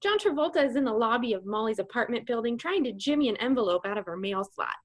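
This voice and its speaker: A woman's voice: on the high side, expressive, fast talker. A woman reading comedic scripted narration for a radio play.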